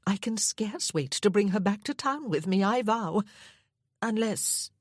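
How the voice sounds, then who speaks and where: A serene, genteel older lady speaks with fond composure, as a woman voices her. Her tone sits a little higher and lighter, with a soft husky edge and lively lilt. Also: a little slow, no echo, clean studio sound. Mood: placid. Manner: placid, genteel. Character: placid fond elderly lady, gently teasing aunt.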